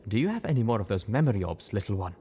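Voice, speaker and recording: A man doing a calm zebra mother. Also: lowish voice, rapid-fire, slightly rough. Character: calm zebra mother, mare, gently commanding.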